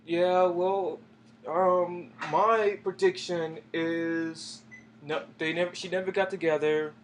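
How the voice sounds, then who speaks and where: A man's voice: high voice, steady tone, slow pace. Young man, casual chat, faint noise. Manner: hesitant, trailing off, laughs. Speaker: co-host. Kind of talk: casual anime discussion.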